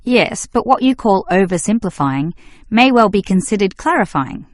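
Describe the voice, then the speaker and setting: A woman acting an agreeable, opinionated university student in a scripted exam dialogue, speaking in a measured, clear way. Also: mid-range voice, expressive, no echo, studio quality, natural voice. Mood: agreeable, reasoning. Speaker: student in a listening test dialogue.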